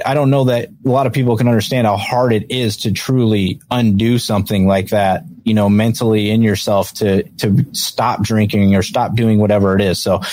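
A man's voice: on the low side, a little mellow. A man from Western New York hosting a casual show, chatty and rambling, with frequent fillers. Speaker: podcast host. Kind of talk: casual conversation.

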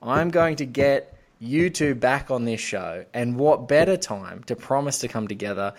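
A man's voice: medium pitch, animated, normal speed. A man hosting a film podcast in a giddy, effusive, emphatic way. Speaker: podcast host. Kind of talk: enthusiastic film podcast introduction.

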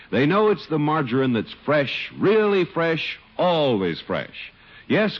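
A man announcing in an old-time radio advertising and narration style, drawing out key words emphatically. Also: medium pitch. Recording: dull old radio broadcast.

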